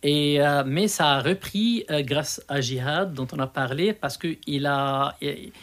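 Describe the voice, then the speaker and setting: Higher-voiced Lebanese man telling his experiences. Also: takes it slowly, fairly bright tone. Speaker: storyteller, interview guest. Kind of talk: conversational storytelling in an interview.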